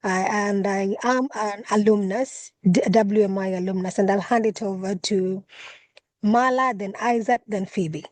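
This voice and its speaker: A woman's voice: medium pitch, fairly even intonation, dry recording. A woman from Kenya hosting an online meeting as regional coordinator, speaking with frequent fillers and restarts over a video call. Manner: halting, many fillers and restarts.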